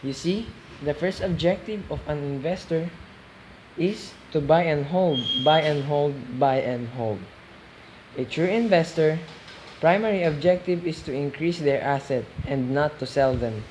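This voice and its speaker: Male amateur as calm, lecturing investor in radio play interview, repeats points for emphasis, faint noise behind. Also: on the high side, a little slow, natural voice, rough recording. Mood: firm, explaining. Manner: didactic, repeats phrases for emphasis. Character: calm, didactic male real estate investor being interviewed.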